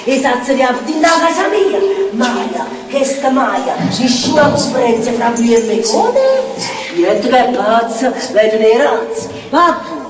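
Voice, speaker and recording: A woman's voice: a little high, lively intonation, measured pace. Volatile Neapolitan slum woman, theatrical dialect rant, mocking, incantatory, roomy distant stage sound, prominent music. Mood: mocking, agitated. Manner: incantatory, repeating phrases, swinging from mutter to shout. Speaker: stage actress.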